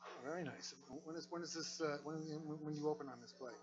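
A man's voice: on the high side, quick pace. A man hosting a casual interview in a broadcast booth, chatty and stumbling over his words, holding a handheld mic. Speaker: host. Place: broadcast booth in a restaurant by a window. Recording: dull lo-fi sound.

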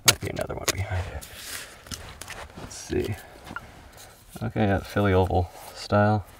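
Man, deep and gravelly, calm matter-of-fact dig narration; distant mic, faint noise. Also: normal speed. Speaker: bottle digger. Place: outdoors at an excavation pit.

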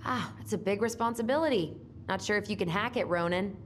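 A woman's voice: medium pitch, a little fast, slight background noise, natural voice. A woman playing a wry, teasing young twin sister in an understated, naturalistic video game style. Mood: wry, low-key. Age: young adult.

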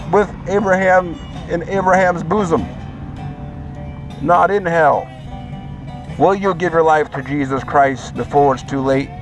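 A man's voice: high-pitched, poor audio. Man, street preacher, repetitive exhorting cadence, outdoor sidewalk, music in background. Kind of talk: open-air street preaching. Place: city sidewalk at night outside a theme park.

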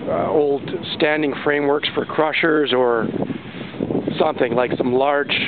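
A man's voice: on the high side, steady tone, measured pace. A man giving casual, rambling first-person vlog narration outdoors, hesitant and understated in manner. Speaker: amateur explorer filming a vlog. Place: outdoors on an exposed seaside catwalk. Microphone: handheld camera microphone. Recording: tinny handheld camera mic, heavy wind noise. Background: loud wind.

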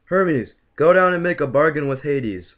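A man's voice: a little high, lively intonation, moderate pace, close to an everyday voice. A man voicing a commanding but indulgent king of the gods, plainly declarative, in an amateur cartoon puppet show. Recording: dull, lo-fi home recording.

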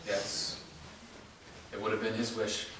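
A man playing a quiet, resigned half-vampire swordsman. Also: lowish voice, monotone, takes it slowly. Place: large indoor rehearsal studio. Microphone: far camera mic. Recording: noisy echoey studio from far off.

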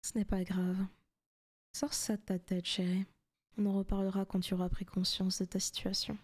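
Soft, teasing demon girlfriend acted by a woman. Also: medium pitch, flat delivery, normal speed, natural voice. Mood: taunting, possessive. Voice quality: soft, often breaking into whispers. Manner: teasing, coaxing, possessive. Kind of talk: intimate ASMR roleplay.

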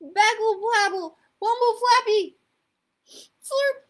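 Storybook toddler girl, played by a woman: fussy, loud baby babble, very high-pitched, drawn-out delivery. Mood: insistent, fussy. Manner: loud babbling baby talk in exaggerated bursts. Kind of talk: children's picture book read-aloud.

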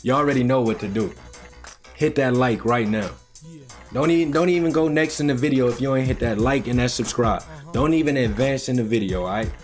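A man's voice: medium pitch, fairly quick. Slangy American man reacting to a game. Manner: slangy, repetitive hype. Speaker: YouTube gaming reaction host. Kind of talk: casual reaction commentary. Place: indoor gaming room. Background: music and game audio.

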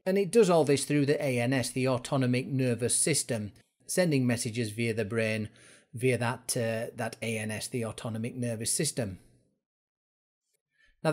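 A man's voice: medium pitch, darkish tone. A man narrating an educational explainer as an instructor, going through it step by step in informal words. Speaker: fitness instructor presenting a course.

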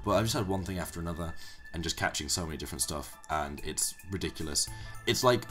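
A young man, hoarse from being ill, giving rambling, casual commentary on a game he is playing. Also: low-pitched, quick pace, fairly bright tone, soft background music. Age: young adult. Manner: rambling, self-correcting explanations. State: hoarse from illness. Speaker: let's play commentator.

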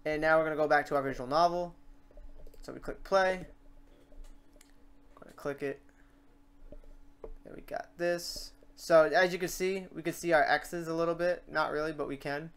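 A man hosting an off-the-cuff software tutorial, informal and rambling, muttering to himself as he works. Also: fairly high pitch, fairly even intonation, normal speed, long pauses, clear, resonant tone. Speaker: tutorial host.

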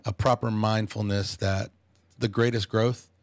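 A man in his fifties speaks in a lowish, gravelly voice, measured and thoughtful, restarting often. He talks into a studio boom mic in a small podcast studio, and the sound is close and dry. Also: level tone, dry recording. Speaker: podcast guest. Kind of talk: reflective podcast talk. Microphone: studio microphone on a boom arm.

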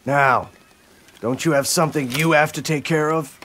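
Brash male samurai, played by a man, raised pitch, forceful edge, blunt challenging delivery for a game. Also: fairly even intonation, moderate pace, a little hiss or hum, lightly acted. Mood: stern. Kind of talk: dramatic video game acting.

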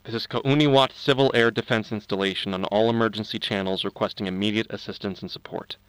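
A man plays an official emergency announcer in an audio drama. His low voice reads formally and solemnly over clearly audible music. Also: steady tone, fairly bright tone, roomy sound, close to an everyday voice, low-quality recording. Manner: formal, measured. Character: solemn, official male civil defense announcer. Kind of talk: scripted emergency bulletin.

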